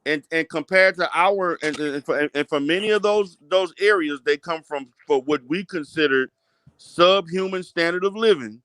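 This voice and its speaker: A man's voice: fairly high pitch, moderate pace, a bit bright, clear, resonant tone, no room sound. A man in a heated casual group debate, speaking insistently, cutting in and repeating his words.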